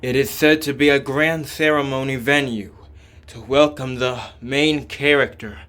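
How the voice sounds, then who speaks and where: A man reading a game script aloud dramatically, with slow, deliberate, halting delivery. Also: mid-range voice, steady tone, fairly bright tone. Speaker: fan voice-over reader.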